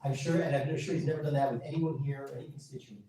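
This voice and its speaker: A man's voice: medium pitch, fairly even intonation, quick pace, dark tone, slight background noise. A man playing an emphatic town attorney, distant. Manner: emphatic, insistent correction. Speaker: municipal attorney. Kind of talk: public meeting remarks. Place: council chamber. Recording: echoey chamber from far off.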